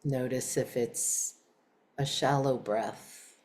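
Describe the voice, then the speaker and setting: A woman leading a guided meditation in a calm, unhurried, soft-spoken way with long pauses. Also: a bass voice, a little hiss or hum.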